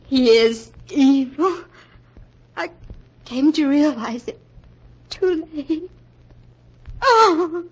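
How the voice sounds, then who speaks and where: An actress playing a frail young woman dying of poison, gasping and halting, in 1940s radio melodrama style. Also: high voice, expressive, exaggerated voice. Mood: agonised, regretful. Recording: muffled 1940s radio recording.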